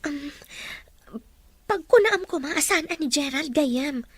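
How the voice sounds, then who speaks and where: A woman's voice: high-pitched, expressive, slow, dry recording. A woman voicing a young female student, hurt and stubbornly aggrieved, in an audio drama. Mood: hurt, doubtful. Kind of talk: Filipino audio drama dialogue in Ilocano.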